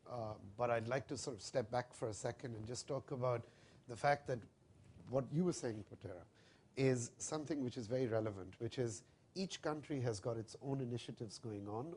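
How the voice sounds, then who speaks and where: A man answering a question on a conference panel, speaking fluently and in a measured, expansive way. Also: mid-range voice, a little mellow, a little hiss or hum. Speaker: panelist, investment firm founder. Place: conference hall.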